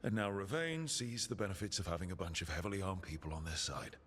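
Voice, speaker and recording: A man's voice: low voice, quick pace, fairly bright tone, a little hiss or hum. A man playing an easygoing, inquisitive adventurer in a video game, conversational and dryly playful. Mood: curious. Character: easygoing, curious male adventurer from an assassin guild. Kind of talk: video game dialogue acting. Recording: music under the dialogue. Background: game music.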